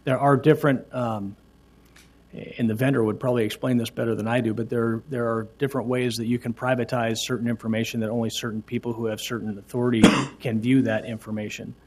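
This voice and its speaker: A man's voice: mid-range voice, little pitch movement, a little mellow. A man, a city official, explaining a records system to a council with many fillers and restarts. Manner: halting, hesitant explanations. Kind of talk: answering council questions.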